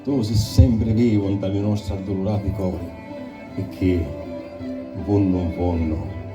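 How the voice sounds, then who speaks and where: A man's voice: deep voice, fairly even intonation, unhurried, distant mic, muffled recording, loud music behind the voice. A man with a Sicilian accent giving a solemn, declamatory recitation of a dramatic poem. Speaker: poetry reciter.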